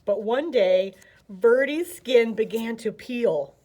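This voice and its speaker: A woman's voice: fairly high pitch, slow, mellow, rough recording. An American woman narrating a children's picture book expressively as a storyteller.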